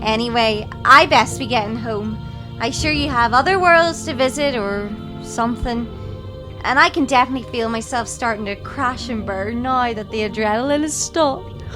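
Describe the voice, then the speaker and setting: A young woman playing a plucky, curious student in an audio drama, with a teasing, unhurried delivery. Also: on the high side, music in the background, lightly acted. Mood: shy, weary. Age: young adult.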